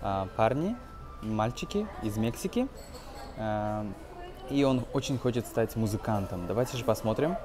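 Low-voiced young man teaching English, music behind. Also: lively intonation, moderate pace. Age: 20s. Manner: hesitant, explaining phrase by phrase. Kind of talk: informal film-based language lesson.

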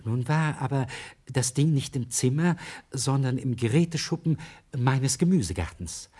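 An actor in a radio crime play as an anxious, earnest village schoolteacher who speaks haltingly. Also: male voice, mid-range voice, expressive, warm tone, husky. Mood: hesitant, nervous. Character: anxious, hesitant village schoolteacher, a married man under suspicion. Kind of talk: German radio play acting.

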